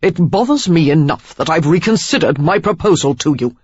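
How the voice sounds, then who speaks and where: A woman's voice: sits low, sing-song, normal speed, slightly rough, no echo, full character voice. Woman playing stern prudish young man. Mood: stern, outraged. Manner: stern, clipped and reproachful. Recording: slightly dull lo-fi recording.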